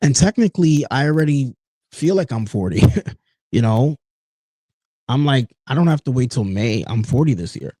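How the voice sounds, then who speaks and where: A man's voice: mid-range voice, animated, normal speed, pauses often, warm tone, dry recording. Earnest man hosting a solo podcast. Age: 30s. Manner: earnest, personal, with frequent "you know" and "folks". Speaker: podcast host. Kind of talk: reflective solo podcast talk.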